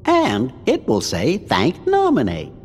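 An actor voicing a small, kindly, helpful male cartoon cricket with a husky voice in a video game. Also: mid-range voice, huge pitch swings, slow, in a large hall, soft background music, exaggerated voice. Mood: reassuring. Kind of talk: video game cutscene acting.

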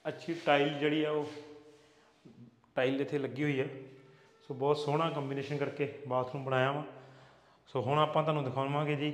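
A middle-aged Punjabi man walks through a bare new house, describing it in a steady, explanatory way. His voice sits a bit high and stays level in pitch. Echo from the empty rooms lingers around his lapel-mic sound. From Punjab, India. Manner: steady, explanatory, mixes English words into his speech. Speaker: real estate agent giving a house tour. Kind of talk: walking property tour commentary.

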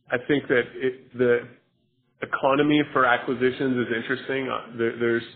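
A man answering investor questions on a conference call as a company executive, in measured, hesitant corporate phrasing. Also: medium pitch. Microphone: telephone conference call. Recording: narrowband phone-like conference line.

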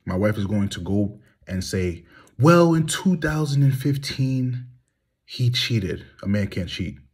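Man, 30s, very low husky voice, heated and blunt, phone selfie monologue from a small room. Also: lively intonation, unhurried. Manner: heated, blunt, rhetorical questions. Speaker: vlogger. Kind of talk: candid monologue to phone camera. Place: small room indoors, reclining. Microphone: phone at arm's length.

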